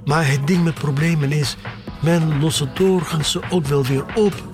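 An actor voicing a nostalgic father and dealer who speaks in a deliberate, rhetorical, drawn-out way. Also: on the high side, steady tone, over music, lightly acted. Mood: lecturing. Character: collector's nostalgic, lecturing father, a shady dealer.

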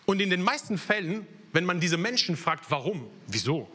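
A man's voice: high-pitched, sing-song, moderate pace, pushed and bright. A young man from Italy with an Italian accent, preaching a sermon in earnest and sharing his own story. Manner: earnest, personal testimony with slips in German grammar.

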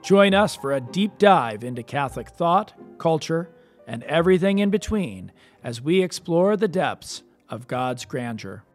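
A man with a fairly high voice hosts a conversational podcast. His phrasing is halting, and he often interrupts himself to rework a sentence. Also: big pitch swings, faint music behind. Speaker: podcast co-host, professor.

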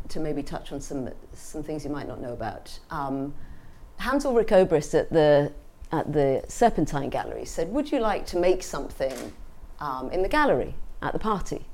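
A woman's voice: deep voice, a little mellow. A middle-aged woman with a southern English accent, giving an informal, reflective personal talk to an audience in a conversational way. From England.

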